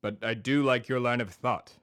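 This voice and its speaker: A man's voice: medium pitch, fairly even intonation, moderate pace, fairly bright tone, smooth, natural voice. A man playing a pompous blue-blood lobbyist and podcast host with a New England accent in a scripted comedy. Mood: indignant. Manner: pompous, indignant, declamatory.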